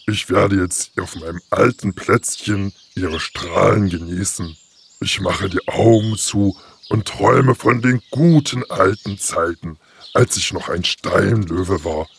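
A man playing a contented old stone lion with a gravelly, husky voice and a drowsy, drawn-out manner in a children's fairy-tale audio drama. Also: low voice, exaggerated voice. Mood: contented, dreamy.

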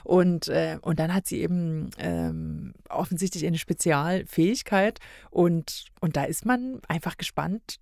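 A woman's voice: on the low side, big pitch swings, unhurried, vocal fry, a little mellow, no echo, clean studio sound. A woman as a podcast guest, chatting casually and colloquially through a review, often agreeing.

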